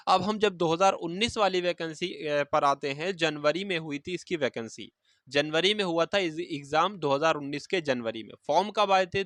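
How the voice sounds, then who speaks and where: A man's voice: on the high side, fairly bright tone, no echo, clean studio sound. A man, explaining exam news to students informally and emphatically, repeating key phrases. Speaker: exam-preparation video host.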